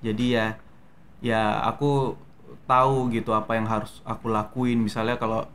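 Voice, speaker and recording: A young man from Medan with a softened Medan Indonesian accent, a guest actor telling his story in a relaxed, hesitant way with many fillers. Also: mid-range voice, normal speed, a little hiss or hum. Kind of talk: casual interview talk.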